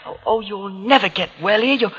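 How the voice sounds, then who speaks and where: High-voiced, nervous young man. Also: a bit bright, breathy edge, muffled recording. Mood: desperate, panicky. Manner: halting, stammering, agitated. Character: guilt-ridden, nervous young street-hardened man. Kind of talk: old-time radio drama acting and confessional narration. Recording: vintage radio recording.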